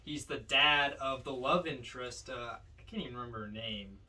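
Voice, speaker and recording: A man's voice: medium pitch, fairly quick, crowd noise. A man co-hosting a podcast, discussing films casually with informal enthusiasm. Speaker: podcast co-host. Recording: roomy, distant from the mic.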